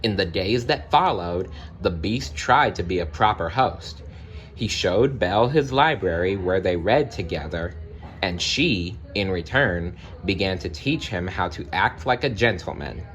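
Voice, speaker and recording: A man reading a storybook aloud as a narrator, in a dramatic, deliberate way with pauses. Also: low voice, animated, fairly bright tone. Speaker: storybook reader.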